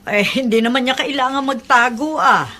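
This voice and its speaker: A woman's voice: sits high, brisk, bright, forceful tone. A woman playing a fretful, scolding middle-aged mother in a Filipino radio drama, anxious and chiding.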